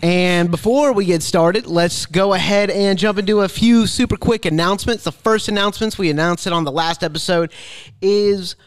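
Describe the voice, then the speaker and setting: A man's voice: high-pitched, normal speed, smooth, no echo. A man co-hosting a podcast, chatting casually in an informal, slangy way. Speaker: podcast co-host. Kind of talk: casual chat.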